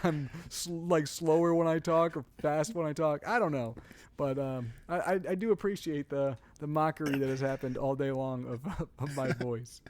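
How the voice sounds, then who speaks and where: A man's voice: a little high, steady tone, normal speed, a little mellow, a little hiss or hum. A young man co-hosting a podcast about fatherhood, chatting casually, teasing his friend and laughing often. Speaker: podcast co-host. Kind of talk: casual chat.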